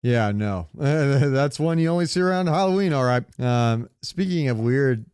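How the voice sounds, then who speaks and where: A man's voice: medium pitch, animated, moderate pace, darkish tone, clean tone, dry recording, clean studio sound. A man co-hosting a podcast, joking and telling stories in casual, sweary slang. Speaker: podcast co-host. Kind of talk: casual chat, comic storytelling.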